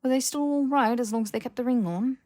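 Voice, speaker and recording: A woman voicing a brash, easily exasperated young man in an audiobook, casual, halting and sarcastic. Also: fairly high pitch, a little mellow, voice pushed up, lightly acted. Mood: puzzled questioning.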